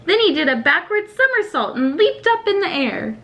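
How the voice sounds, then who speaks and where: High, animated woman reading a storybook. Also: moderate pace. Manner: addresses young children directly with simple questions. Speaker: teacher reading a storybook to pupils. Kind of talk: expressive children's story reading.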